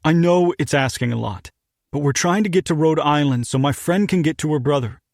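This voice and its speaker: An earnest, placating young man, as a narrator voices him, speaking a little higher and firmer than a plain read. His voice has a gravelly texture, dry and close to the mic. He sounds calm but desperate to be believed. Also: brisk, no room sound, close to an everyday voice. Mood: pleading. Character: weary American man, calm and pleading. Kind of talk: audiobook character voice.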